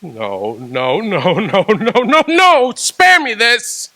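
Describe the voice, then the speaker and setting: A man acting out an aging miser in a radio play, his bright voice swinging widely in pitch. He halts and wavers as he moves between grief and fond delight. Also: sing-song, slow, full character voice. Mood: anguished. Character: old miser man, remorseful, reliving his youth.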